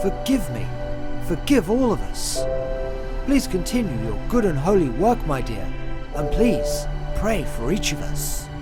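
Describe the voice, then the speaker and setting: A proud young king in a medieval audio drama. His voice sits high and swings widely, with formal, old-fashioned phrasing. Music plays behind him. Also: exaggerated voice. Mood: awed, repentant. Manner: formal archaic speech, theatrical.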